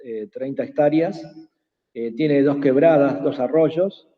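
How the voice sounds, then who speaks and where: A man whose first language is Quechua, speaking slowly and hesitantly as a guest in an online workshop, with many pauses and fillers. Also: medium pitch. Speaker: invited speaker. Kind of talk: slow reflective talk in an online workshop. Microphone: video call. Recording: tinny phone-like call audio with long reverb.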